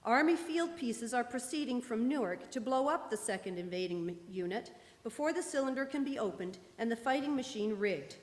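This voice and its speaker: A woman plays a news announcer in a radio drama, reading bulletins formally and with measure. Her voice is somewhat high. It carries through a big hall with long reverberation. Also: lively intonation, fairly quick, hardly any pauses, close to an everyday voice. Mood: grave, formal. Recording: echoey church hall, live performance.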